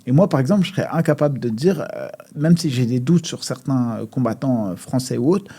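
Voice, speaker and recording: A man's voice: mid-range voice, fairly quick, warm tone, husky. A man, a fighter from France, talking casually and emphatically on a podcast, with frequent fillers and restarts. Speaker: MMA fighter guest.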